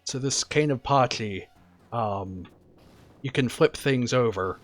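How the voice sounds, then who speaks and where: A man streaming a video game, talking casually and quietly about what he's doing in a relaxed, chatty way. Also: medium pitch, slow pace, soft background music. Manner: soft-spoken, relaxed and chatty. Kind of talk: casual gameplay commentary.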